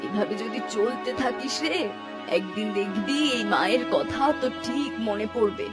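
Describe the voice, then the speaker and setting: A woman's voice: medium pitch, normal speed, natural voice. A woman voicing a worried, nagging village mother in a cartoon, chiding and plaintive. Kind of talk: Bengali cartoon dialogue. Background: music.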